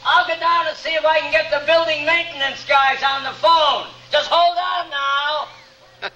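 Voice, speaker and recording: An actor playing a folksy, wry workplace fellow calling out in drawn-out phrases in a radio drama. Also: male voice, extremely high pitch, fairly even intonation, sharp tone, heavily acted. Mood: amused, reassuring. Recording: dull, echoey, noisy, heard through a shaft.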